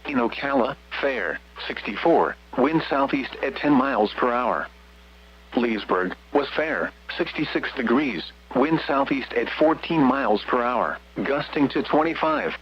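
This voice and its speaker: An American man's synthetic voice reading a scripted weather radio bulletin in a flat, measured way. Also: mid-range voice. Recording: muffled, noisy weather radio broadcast with hum.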